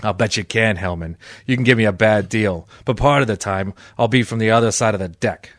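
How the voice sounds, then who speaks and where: An actor playing a tough, cynical, wisecracking private detective in old-time radio style, deadpan and sardonic. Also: male voice, lowish voice, a little fast, dry recording, studio quality, close to an everyday voice. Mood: defiant, sardonic. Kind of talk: old-time radio detective acting with first-person narration.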